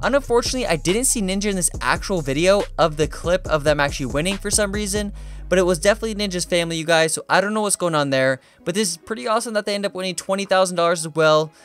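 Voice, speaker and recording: A man narrating a countdown list video in an energetic, chatty way, often addressing viewers as "you guys". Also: high voice, quick pace, soft background music. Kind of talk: fast upbeat countdown narration.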